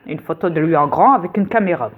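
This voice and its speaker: A woman's voice: fairly low pitch, animated, fast talker, phone-quality sound, slight background noise. A woman lecturing viewers insistently in a rambling casual monologue, with many fillers. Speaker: conspiracy video host.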